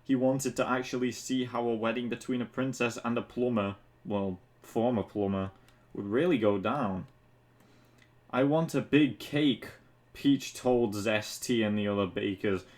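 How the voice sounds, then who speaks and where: A man's voice: fairly low pitch, steady tone, moderate pace. Man reading fan fiction with mocking asides. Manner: mocking, swearing commentary. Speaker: reader of fan fiction for an online video.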